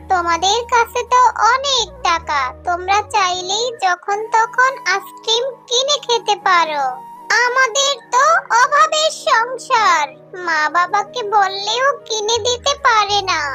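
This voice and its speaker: Cartoon little animal girl: very high, bright, childlike, slow and pleading, music underneath. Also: heavily acted. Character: young animal girl, poor, pleading and innocent. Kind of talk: Bangla children's cartoon voice acting.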